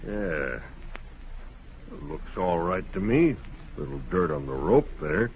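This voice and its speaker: An actor playing a slow, puzzled small-town sheriff in a radio western, with a folksy, halting drawl. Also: male voice, low voice, big pitch swings, gravelly, heavily acted. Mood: businesslike. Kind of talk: 1950s radio western acting. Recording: dull, noisy old radio recording.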